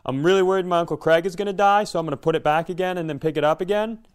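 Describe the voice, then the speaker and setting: A man's voice: high-pitched, very fast, clear, resonant tone. A man telling a casual, comedic personal story in a self-deprecating way, slipping into imagined dialogue. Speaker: podcast host and comedian.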